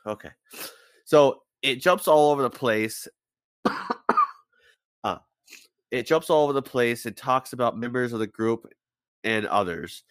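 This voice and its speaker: A man's voice: medium pitch, measured pace, long pauses, no echo. A man with a head cold hosting a casual podcast, rambling through a story and stumbling over names as he swears offhandedly. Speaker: podcast host.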